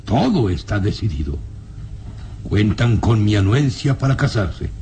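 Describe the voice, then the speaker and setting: A man's voice: fairly low pitch, big pitch swings, a little slow, warm tone. An actor playing a stern, domineering aristocratic father in a radio drama, speaking formally and imperiously. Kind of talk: old-time Spanish-language radio drama acting.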